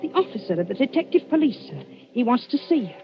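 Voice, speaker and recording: An actress playing a fussy, anxious, deferential housekeeper in a 1940s radio drama, with fretful, chattering politeness. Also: female voice, a little high, very animated, quick pace. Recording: muffled 1940s radio broadcast, music behind.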